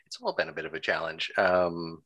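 A man's voice: low voice, steady tone, fairly quick, bright, forceful tone, muffled recording. A genial, good-humored man in his thirties, chatting casually as an interview guest. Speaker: interview guest, town clerk.

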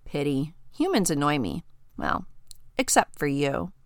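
A woman's voice: low voice, sing-song, measured pace, no echo, studio quality, voice pushed down. A woman voicing an ancient, weary female dragon with wry, haughty, archaic phrasing in a storybook reading. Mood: blunt, wry.

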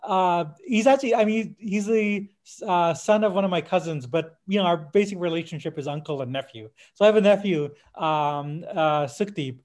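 A man in his fifties chatting casually on a podcast, halting and digressive, often interrupting himself with tangents. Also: high voice, darkish tone. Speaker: podcast co-host. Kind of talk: casual podcast discussion of music history.

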